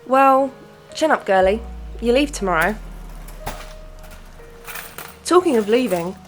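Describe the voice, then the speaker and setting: A woman's voice: on the high side, expressive, slow pace, soft background music. A woman playing a caring, teasing young shop worker in an amateur radio drama. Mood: teasing, affectionate.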